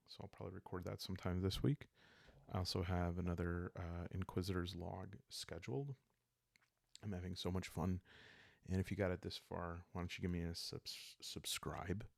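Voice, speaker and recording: A man calmly narrating an improvised solo roleplay story in a soft-spoken, halting way, as if for sleep. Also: low-pitched, creaky, no echo.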